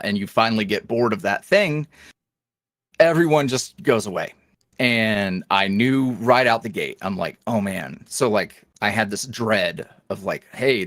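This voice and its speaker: A man, an ASMR roleplay creator, chatting casually as a guest in an interview, animated and self-deprecating with plenty of fillers. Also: lowish voice, no room sound, studio quality.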